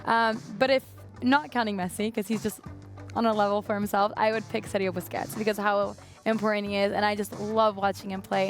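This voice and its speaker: A woman's voice: mid-range voice, creaky. A young American woman from California hosting a casual football chat, upbeat and moving the talk along. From California, United States. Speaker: host.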